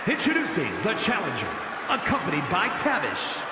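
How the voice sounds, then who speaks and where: A man playing a grand wrestling ring announcer, making drawn-out shouted introductions. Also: high voice, huge pitch swings. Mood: grand, hyped. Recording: echoing arena PA, music, roaring crowd.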